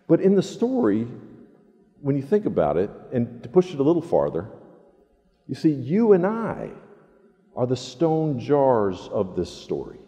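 A man's voice: medium pitch, dark tone. Older male preacher in a reverberant hall. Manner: earnest, deliberate emphatic repetition. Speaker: pastor preaching. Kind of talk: sermon. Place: large church sanctuary, indoors.

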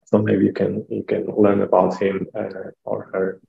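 A low, gravelly man talks in a flat, mellow voice, stumbling over repeated words. He is heard over a video call. The audio is dull and lo-fi. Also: moderate pace. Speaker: executive director. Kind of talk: casual meeting talk.

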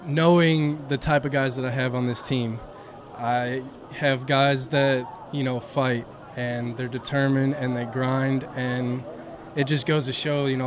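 A young man, a college baseball pitcher, answering post-game questions modestly outdoors at a ballpark, with lots of "you know" fillers. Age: young adult. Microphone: handheld mic held by interviewer. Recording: outdoor noise around the mic.

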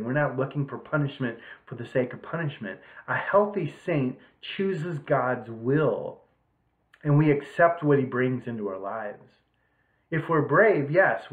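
A middle-aged man giving an informal devotional talk as a retreat leader, conversational, often checking that listeners agree. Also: mid-range voice. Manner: conversational, seeks agreement with short rhetorical checks. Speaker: priest leading an online retreat. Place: small home room, indoors. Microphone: webcam or laptop at desk distance. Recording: roomy echo, narrow thin webcam sound.

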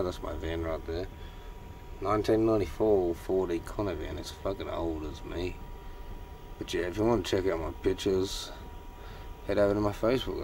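A young man vlogging casually from inside his van at night, swearing often and rambling bluntly, his voice rough from being sick. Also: deep voice. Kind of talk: casual vlog walkthrough. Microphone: handheld phone camera. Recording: noisy heater hum in a van. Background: diesel heater hum.

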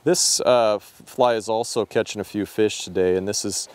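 A man's voice: lowish voice, fairly even intonation, moderate pace, no room sound. A middle-aged man chatting in a friendly way on a drift boat outdoors, explaining the flies he uses. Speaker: angler. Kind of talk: casual chat.

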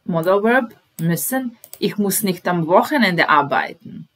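A woman in her thirties gives a slow, patient language lesson from a small room, speaking into a webcam at her desk. Her voice sits a little low, and she repeats each phrase word by word with unhurried pauses. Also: lively intonation, a bit bright. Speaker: German teacher.

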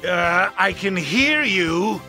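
A man's voice: sits high, animated, slow, pushed and bright, faint music behind, heavily acted. A man playing a haughty, irritable male cat god in an anime game, imperious and languid. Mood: haughty, irritated.

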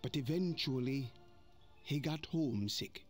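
Slow expressive storybook narrator, man. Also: mid-range voice, faint music behind.

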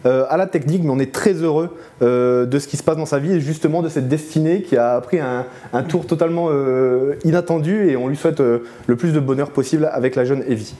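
A man's voice: fairly high pitch, brisk, few pauses, mellow. A man in his thirties with an accent from France, casually hosting an unscripted interview in a small indoor studio. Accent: French from France. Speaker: host of a local media show.

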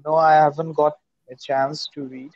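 A man in his thirties from Pakistan, an Urdu speaker, talking on an earphone mic over a video call, measured with frequent uh pauses. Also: a little high, level tone, a little mellow, dry recording. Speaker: English learner and channel host. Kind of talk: casual video call conversation. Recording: dull, dry video call audio.

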